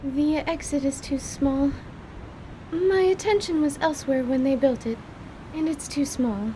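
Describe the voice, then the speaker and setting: A woman playing an awkward, easily embarrassed young female mage in an audio drama, with halting, formal, precise wording. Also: sits high, mellow, slight background noise, poor audio. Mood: flustered, awkward.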